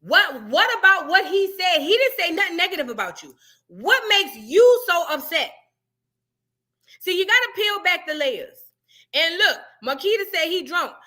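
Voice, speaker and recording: A woman's voice: very high-pitched, sing-song, moderate pace, pushed and bright. A woman giving blunt, questioning commentary as she reacts to a clip.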